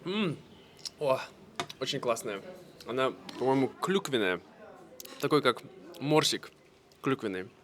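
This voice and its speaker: A man from Russia vlogging casually to camera, speaking simply and clearly for language learners with easy fillers. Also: medium pitch, very animated, a little slow, pauses often, fairly bright tone. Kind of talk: casual vlog talk to camera.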